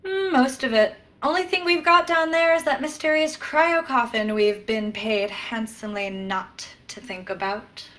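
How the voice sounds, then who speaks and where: A woman's voice: fairly high pitch, unhurried, few pauses. A woman playing an eager, quirky, chatty starship science officer in a sci-fi comedy play. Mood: curious. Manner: excitable, chatty.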